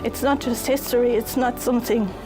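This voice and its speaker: A woman in her fifties with a German accent speaks in a slightly high voice over clearly audible music. She gives an earnest, reflective testimonial, pausing and repeating phrases as she goes. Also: fairly even intonation, poor audio. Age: 50s. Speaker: volunteer giving a testimonial. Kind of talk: personal testimonial for a video. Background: clear music under the voice.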